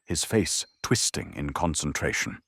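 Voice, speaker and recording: A man's voice: extremely low pitch, very animated, a little slow, fairly bright tone, breathy edge, no room sound, clean studio sound. A man delivering dramatic scripted narration for an audio drama. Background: faint music.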